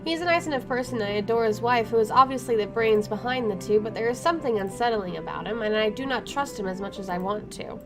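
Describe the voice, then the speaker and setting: A woman streamer with a slightly high voice narrates a game. She reads the text aloud and cuts into it with informal, wry remarks. Music plays clearly behind her. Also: fast talker, hardly any pauses. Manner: wry, informal, self-interrupting. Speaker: gaming streamer. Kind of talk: let's play, reading game text aloud with casual asides. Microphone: microphone placed to one side.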